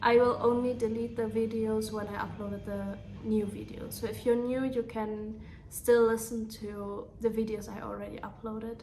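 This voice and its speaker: A young German woman, a native German speaker, talking earnestly in a soft, unhurried way about writing her novel. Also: fairly high pitch, level tone, a little hiss or hum. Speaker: author reading her own novel. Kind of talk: reflective vlog talk.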